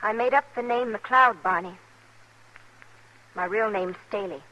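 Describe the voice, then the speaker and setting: Nervous young frontier bride, sharp voice, American accent, guarded, halting, radio western. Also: female voice, mid-range voice, highs cut off. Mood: distressed. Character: anxious, guarded young frontier wife hiding her past. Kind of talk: mid-century American radio western acting.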